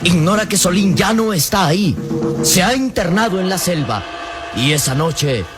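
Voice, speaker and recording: Loud music swells behind a man with a fairly high voice narrating a radio serial. His delivery is solemn, emphatic and full of suspense. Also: animated, moderate pace.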